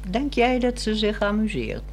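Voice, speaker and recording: A woman's voice: medium pitch, big pitch swings, moderate pace, soft background music, lightly acted. Dry, teasing housewife in a woman's radio acting. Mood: playful, pointed. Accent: Netherlands Dutch. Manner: dry, probing, pointed. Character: bored, frank housewife, teasing and probing. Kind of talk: 1960s radio drama acting.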